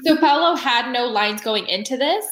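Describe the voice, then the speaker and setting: A woman's voice: fairly high pitch, lively intonation, normal speed, a bit bright. A woman chatting casually about a TV show in an informal way, often saying "like" as she goes. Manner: informal, peppered with "like". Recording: roomy echo with faint noise.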